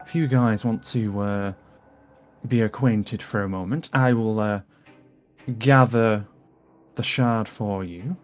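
A man's voice: lowish voice, slow pace, soft background music. A man playing a composed, calculating villain in an amateur Minecraft roleplay, formal and polite. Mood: courteous.

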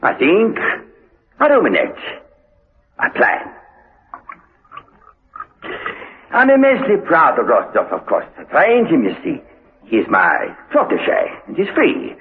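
A menacing prisoner, wildly swooping voice. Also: male voice, on the high side, wildly swooping pitch, a little hiss or hum. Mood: gloating, taunting. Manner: deliberate, theatrical, menacing. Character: bitter, resolute male prisoner, menacing ringleader, slyly taunting. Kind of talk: 1960s-spy radio drama acting. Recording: muffled, lo-fi radio recording.

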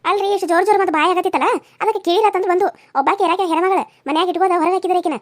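Woman acting an outspoken high-voiced neighbour, North Karnataka accent. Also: fairly even intonation, very fast. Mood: indignant. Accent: North Karnataka Kannada. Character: outspoken, protective motherly neighbour woman, indignant. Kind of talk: family melodrama.

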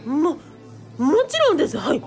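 A woman voicing a vain, excitable male cult leader, stammering and obsequiously polite, in a comic radio drama. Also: sits high, moderate pace, soft background music. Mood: eager fawning.

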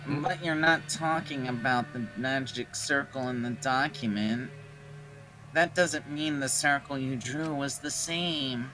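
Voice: mid-range voice, slow pace, over music, lightly acted, low-quality recording. A performer voicing a mischievous teenage boy schemer in a game fan dub, needling and casually dismissive. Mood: sly, probing.

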